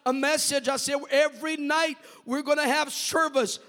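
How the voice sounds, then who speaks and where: A man, his Portuguese, preaches a fiery missionary testimony, shouting out hallelujahs. His words ring out with a long reverb through a church sanctuary. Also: very high-pitched, fairly even intonation, moderate pace. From Brazil. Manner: fervent, calls out hallelujahs, shouts at peaks. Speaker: missionary pastor.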